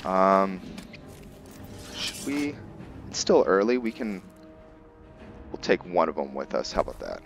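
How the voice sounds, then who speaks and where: A man's voice: low voice, big pitch swings, measured pace, vocal fry, long pauses. A man giving casual game commentary to viewers, rambling as he thinks aloud and explains things. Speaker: gameplay video creator, player. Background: music.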